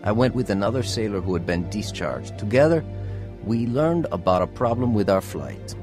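A man's voice: fairly low pitch, lively intonation, normal speed, natural voice. Actor as earnest young navy man, music behind. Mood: reflective narration. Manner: earnest, confessional. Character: troubled, earnest young navy man telling his conversion. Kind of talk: radio drama narration and acting.

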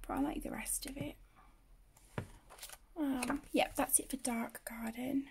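A woman with the flu, croaky and often half-whispering, casually showing her finished colouring pages as the channel host. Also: high-pitched, fairly even intonation, measured pace, background noise. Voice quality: hoarse, often drops to a whisper. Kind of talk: casual flip-through commentary. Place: indoors at a table.

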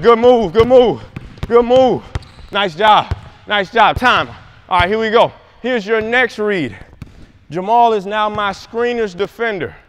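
High-voiced young basketball coach. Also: male voice, slight background noise. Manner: energetic, shouts calls on court. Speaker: basketball trainer. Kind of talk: coaching demonstration. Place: large indoor gym.

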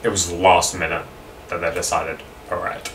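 A man chats casually during a game in short, sweary interjections. His voice is bright and forceful, picked up from a distance in an echoey room with faint noise. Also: low voice, level tone, normal speed. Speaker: co-player chatting along. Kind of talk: casual chat while gaming.

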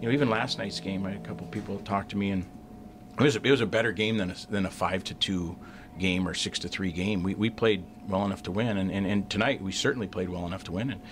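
A middle-aged man, a hockey coach, answering reporters at a postgame press conference, halting and repeating words as he restarts sentences. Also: low voice, steady tone, noisy background. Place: press room in front of a sponsor backdrop. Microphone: desk mic on a stand.

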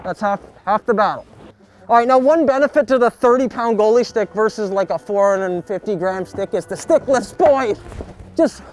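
Deadpan man giving a mock hockey tutorial. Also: high voice, moderate pace, slight background noise. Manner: deadpan, mock-serious coaching with hockey slang. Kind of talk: jokey sports tutorial. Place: backyard, outdoors. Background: music.

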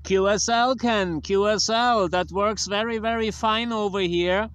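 A man from Germany with a German accent, chatting casually as a ham radio operator and deliberately repeating phrases. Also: high-pitched, steady tone, fairly bright tone, slight background noise. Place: outdoors on a grassy riverbank. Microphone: handheld tablet recording.

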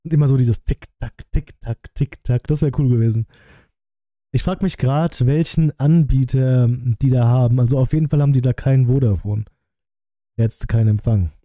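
A man gives casual live-stream commentary in a very mellow, relaxed voice. He sounds close to the microphone in a dry room, chatting directly to his viewers. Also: mid-range voice, normal speed, no room sound. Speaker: streamer reacting to a film review. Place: small room at a streaming setup. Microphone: close desk microphone, headset worn.

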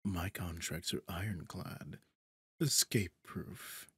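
A suave, possessive devil, played by a man for roleplay audio, speaks in a deep, breathy voice right up on a dry microphone. He takes his time, drawing out phrases with pompous, theatrical condescension and sinking often into near-whispers. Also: steady tone, slow, sharp tone, dry recording, full character voice. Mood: haughty exasperation. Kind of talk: roleplay audio drama, ASMR-like monologue. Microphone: close, dry studio microphone.

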